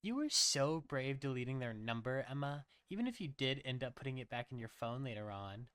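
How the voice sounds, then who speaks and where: A man's voice: mid-range voice, expressive, a little fast, fairly bright tone, clear, resonant tone, dry recording, lightly acted. A man voicing a perky woman coworker in a horror story, sing-song and falsely sweet with a menacing edge. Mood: syrupy sweet.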